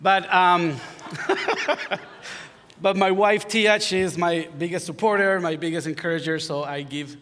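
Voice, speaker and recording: Honduran pastor preaching in a reverberant hall. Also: male voice, high-pitched, fairly even intonation, a little slow, long pauses. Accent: Honduran Spanish. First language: Spanish. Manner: warm self-deprecating humor, rising to fervent preaching. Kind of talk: sermon. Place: church sanctuary.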